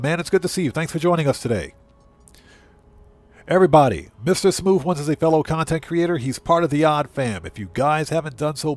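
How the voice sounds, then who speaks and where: Male game streamer, slightly high voice, chatty and informal, music underneath. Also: no room sound. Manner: chatty, thinking aloud, addressing viewers informally. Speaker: livestream host. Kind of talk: casual video game livestream commentary.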